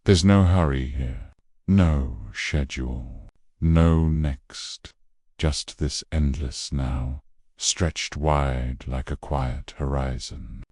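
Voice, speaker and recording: A man narrating a bedtime sleep meditation in a slow, hushed, gentle voice that trails off softly. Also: very deep voice, no echo, studio quality. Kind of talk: soothing scripted bedtime narration.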